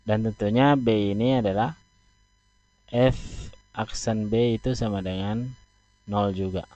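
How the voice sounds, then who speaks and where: A man teaching math, talking through a graph step by step with slow, deliberate pauses. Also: on the low side, steady tone, warm tone. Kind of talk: explaining at a board. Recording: dull, dry lo-fi recording.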